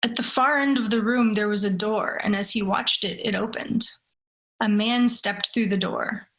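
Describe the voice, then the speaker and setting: A woman who speaks in a measured, deliberate way, with careful phrasing. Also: medium pitch, steady tone, fairly bright tone. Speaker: story reader. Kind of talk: dramatic literary reading, then casual discussion.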